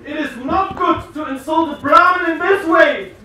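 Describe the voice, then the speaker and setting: A man's voice: very high voice, normal speed, pushed and bright, exaggerated voice. An actor playing a cowering, stammering Brahmin teacher who pleads with his king in a stage reading. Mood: fearful pleading. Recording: echoey hall, distant, crowd noise.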